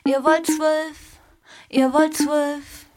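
A woman's voice: high-pitched, flat delivery, drawn-out words. A woman musician delivering a repetitive scripted refrain in a musical way. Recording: loud music under the voice. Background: loud music.

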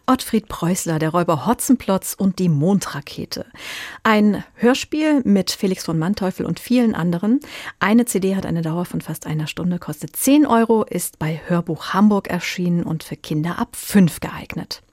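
A woman hosting a radio book show, crisply summarizing titles and chatting with a guest critic. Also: on the low side, animated, moderate pace, a little mellow, no echo, clean studio sound.

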